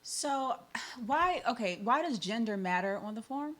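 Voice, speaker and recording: A woman's voice: on the high side, lively intonation, measured pace. A woman hosting a conversational radio show, often peppering her points with "like" and "you know". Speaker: host.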